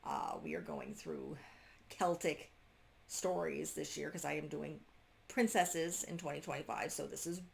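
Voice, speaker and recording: A woman's voice: sits low, gravelly. A woman reviewing a book casually to camera, unscripted, often breaking off and restarting her sentences as she goes. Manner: halting, self-interrupting, trailing off mid-sentence.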